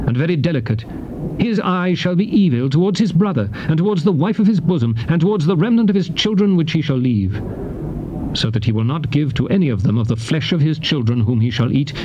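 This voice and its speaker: Male actor as solemn prophet, declamatory and grave, archaic scripture drama, mid pitch, noisy tape transfer. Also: natural voice. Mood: grim. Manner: grave, declamatory, measured oratory. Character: Moses, solemn male prophet warning his people of curses. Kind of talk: dramatized scripture reading, formal archaic English.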